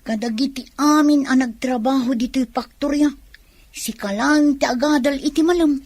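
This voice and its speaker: A woman's voice: high-pitched, moderate pace, a little mellow, no echo. A woman with an Ilocano accent playing a doubtful young factory worker who questions things in a radio drama. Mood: doubtful, curious.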